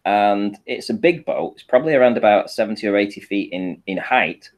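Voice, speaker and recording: Low-pitched man explaining step by step. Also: animated, fairly quick. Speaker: panel guest presenting a photo analysis. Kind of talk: informal explanatory talk over shared images.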